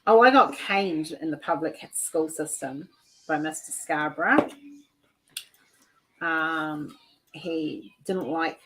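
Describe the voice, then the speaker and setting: A woman's voice: sits low, fairly bright tone, slight background noise, rough recording. A woman hosting a casual livestream chat, telling stories in a loose, rambling way over a video call. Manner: chatty, storytelling, frequent "like" and restarts. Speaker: livestream host.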